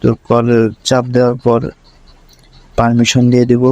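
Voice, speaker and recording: A man's voice: mid-range voice, completely flat delivery, moderate pace, a little mellow, no room sound. A man presenting a screen tutorial step by step, linking each instruction to the last in a repetitive way.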